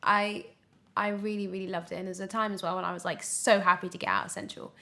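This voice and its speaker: A young British woman talks casually as an interview guest. Her voice sits at a medium pitch, and she speaks with emphasis, often interrupting herself mid-thought. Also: flat delivery, fast, gravelly, sharp tone. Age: 20s. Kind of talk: casual podcast interview chat.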